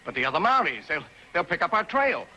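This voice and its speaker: A man's voice: fairly high pitch, big pitch swings, a little fast, sharp tone, exaggerated voice. An actor playing a nervous, worried outlaw henchman in a 1950s television western. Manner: worried, agitated. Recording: noisy old 1950s TV soundtrack.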